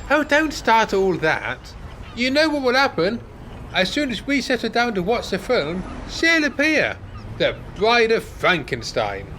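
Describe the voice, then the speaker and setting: A man's voice: extremely high pitch, wildly swooping pitch, unhurried, pushed and bright, slight background noise, full character voice. An amateur actor playing an anxious, querulous older man, the reluctant sidekick in a radio play. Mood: exasperated. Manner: worried, querulous, exasperated. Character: anxious, fretful older male care-home resident, reluctant sidekick.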